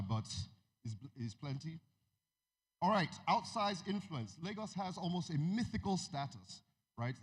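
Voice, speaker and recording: A man's voice: medium pitch, a little mellow. Nigerian man giving a keynote in a reverberant hall. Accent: American-tinged Nigerian English. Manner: conversational, asks the audience questions, jokes asides. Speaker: keynote speaker. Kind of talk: conference keynote talk. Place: large conference hall.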